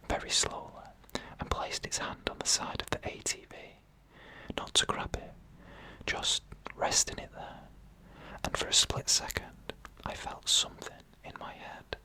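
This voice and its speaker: A narrator reading scary stories in a hushed ASMR whisper, with slow, deliberate phrasing and pauses. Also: low-quality recording. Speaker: narrator and host of a scary story show.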